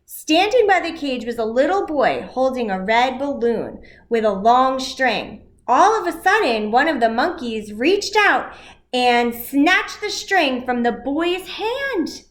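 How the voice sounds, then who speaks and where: A woman with a high voice reads a picture book aloud to small children. She takes her time over simple phrases, like a school educator addressing young listeners. The room adds a noticeable echo. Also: sing-song, takes it slowly. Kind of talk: children's storybook read-aloud.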